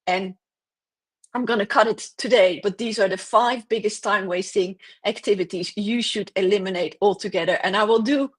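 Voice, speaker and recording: A woman's voice: medium pitch, fairly even intonation, moderate pace, breathy edge. A woman giving direct, emphatic business advice in a solo talk, correcting herself now and then and losing her voice. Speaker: host, business coach.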